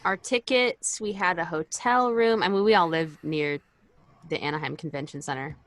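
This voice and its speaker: Female podcast co-host, American, voice a touch on the low side, conversational and informal. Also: fairly even intonation, moderate pace, no echo. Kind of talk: casual podcast chat.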